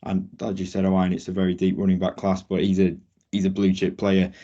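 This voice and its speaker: Male panelist, deep mellow voice going hoarse, clears throat often, dull recording. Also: completely flat delivery, brisk. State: losing his voice. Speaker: draft analyst, co-host. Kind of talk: casual panel discussion. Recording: dull lo-fi audio.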